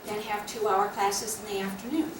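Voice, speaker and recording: A woman's voice: mid-range voice, little pitch movement, normal speed. A woman officer laying out upcoming class plans at a guild meeting in a practical, explanatory way. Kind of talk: announcements at a club meeting. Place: meeting room. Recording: echoey room, distant mic, noisy.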